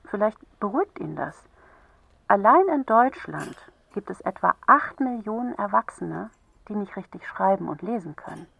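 A woman playing a kind, patient helpline counsellor for children in a radio play, gently explaining and encouraging. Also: medium pitch, lively intonation, moderate pace, vocal fry, breathy edge, no room sound, lo-fi sound, exaggerated voice. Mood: gentle, encouraging.

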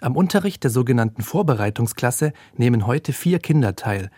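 A man's voice: mid-range voice, expressive, brisk, darkish tone, slightly rough, dry recording, clean studio sound. A man narrating a scripted news feature as a reporter. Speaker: radio reporter.

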